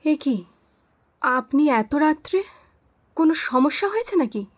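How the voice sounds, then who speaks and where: Anxious, high-voiced married woman. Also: animated, unhurried, a little hiss or hum. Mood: puzzled. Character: anxious married woman, softly questioning, easily alarmed. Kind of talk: Bengali audio drama acting.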